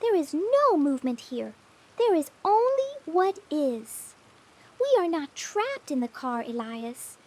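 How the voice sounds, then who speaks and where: A woman voicing a young sister's spirit made of light, speaking in a measured, solemn and reproachful way for a horror story. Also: very high voice, sing-song, darkish tone, heavily acted. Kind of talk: scripted horror story dialogue.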